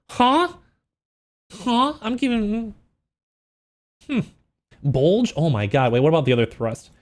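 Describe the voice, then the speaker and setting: A man livestreaming in a casual, slangy, joking way, with an animated, incredulous manner as he reacts. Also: high-pitched. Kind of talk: casual livestream reaction with book read-outs.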